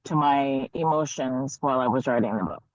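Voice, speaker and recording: A woman from the United States giving casual interview answers as a guest author, halting as she thinks aloud. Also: very deep voice, dry recording, dull audio.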